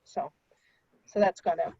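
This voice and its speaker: Warm-toned woman, halting updates, dead dry lo-fi sound. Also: no echo. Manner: hesitant, filler-heavy. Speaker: commission member. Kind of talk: giving announcements at a meeting. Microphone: remote video call. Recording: dull dry call audio.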